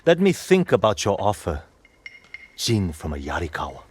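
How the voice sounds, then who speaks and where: A husky, low-voiced raider leader. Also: male voice, wildly swooping pitch, normal speed, darkish tone, no room sound, heavily acted. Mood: skeptical. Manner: challenging, blunt. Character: wary, hard-bitten island raider leader, a man. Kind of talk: dramatic video game cutscene acting.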